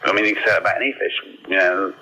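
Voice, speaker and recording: A man's voice: low-pitched, steady tone, racing, bright, forceful tone, husky. A man giving matter-of-fact, slightly bemused answers in a casual interview over the telephone. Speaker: phone-in guest, aquarium worker. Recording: noisy phone call on radio.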